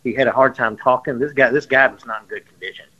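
A man, a guest on a radio show, telling stories in an animated way and often repeating himself. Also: mid-range voice, fast talker, husky, dull audio. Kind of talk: storytelling in casual chat.

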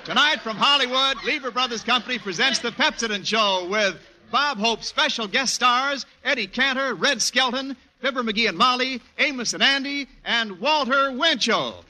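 Very high-pitched American man, 1940s radio announcer. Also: normal speed, sharp tone. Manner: projected, formal salesmanlike delivery. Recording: noisy 1940s radio broadcast with clicks.

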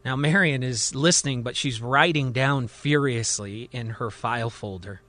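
Bright-voiced male storyteller, dramatic. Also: medium pitch, normal speed. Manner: dramatic, suspenseful. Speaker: storyteller, podcast host. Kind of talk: dramatic present-tense scripted storytelling.

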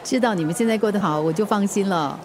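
An actress playing a supportive, generous friend in a radio drama, friendly and encouraging. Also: female voice, medium pitch, quick pace, darkish tone, slight background noise, lightly acted. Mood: caring, upbeat. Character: supportive, generous woman friend of a young family.

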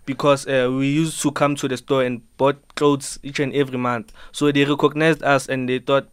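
An eighteen-year-old man answers earnestly and politely, addressing the host as ma'am. His voice is smooth and resonant. The studio sound is dry and close. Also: medium pitch, flat delivery, normal speed, no room sound. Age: teens. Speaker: dancer and fashion subculture member. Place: radio studio.

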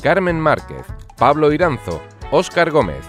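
A male announcer delivers scripted credits in a medium-pitched, very animated voice. Guitar music plays clearly behind him. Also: measured pace. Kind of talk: scripted narration.

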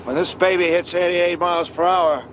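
An actor playing an excitable, boastful male inventor in a film clip, with car and street noise behind him. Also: high-pitched, normal speed. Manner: emphatic, boastful. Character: excitable male inventor boasting about his time machine.

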